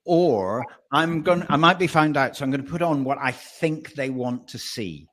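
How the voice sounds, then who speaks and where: A man who works as a voice and presence coach, explaining breathing and voice as a webinar guest. He talks with animation, restarts often and slows down to stress points. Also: fairly high pitch, fairly bright tone.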